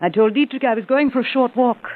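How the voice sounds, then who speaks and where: A woman's voice: fairly high pitch, fast, close to an everyday voice. An actress playing a nervous young woman caught up with crooks in a 1940s radio drama. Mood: uneasy. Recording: narrow old radio broadcast.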